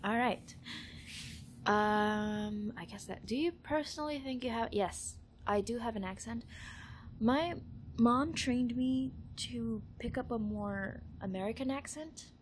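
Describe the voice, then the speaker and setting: A woman's voice: on the high side, slow, a little hiss or hum. A woman from the Philippines with a Filipino accent, talking in a playful, informal, self-aware way as she chats and reads aloud. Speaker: amateur audio recorder doing an accent challenge. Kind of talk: casual chat, reading questions and word lists aloud.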